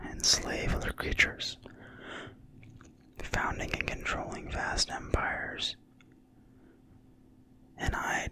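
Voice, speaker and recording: A breathy whisperer reads aloud in ASMR style, never using full voice. The whisper is bright and sibilant. The delivery is slow, hushed and deliberate, with measured pauses between phrases. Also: poor audio. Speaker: narrator reading from a fantasy game manual.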